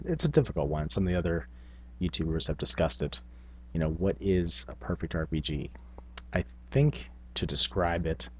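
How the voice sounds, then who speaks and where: A man's voice: low-pitched, husky. A man speaking informally to camera as a tabletop gaming vlogger, thinking aloud in casual talk. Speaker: tabletop gaming video blogger.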